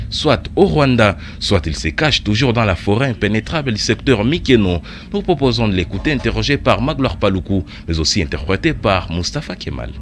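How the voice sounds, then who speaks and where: A male news anchor reading a radio news bulletin in a measured newsreader delivery. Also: lowish voice, a little hiss or hum.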